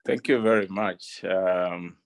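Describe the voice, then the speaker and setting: A man from Malawi, a panel guest on a video call, telling a story earnestly with many pauses and restarts. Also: low voice. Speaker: panel guest, development partner.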